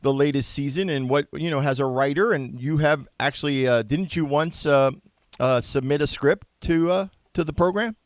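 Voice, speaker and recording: A man's voice: fairly high pitch, moderate pace, dry recording, studio quality. A middle-aged man hosting a chatty radio interview, effusive and often repeating his phrases. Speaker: radio show host.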